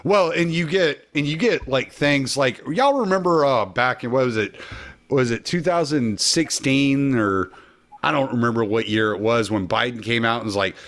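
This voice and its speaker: A man's voice: mid-range voice, normal speed, a bit bright. A man hosting a loose group conversation over a video call, laughing often, swearing, and saying "y'all". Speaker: podcast host. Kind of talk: casual group chat.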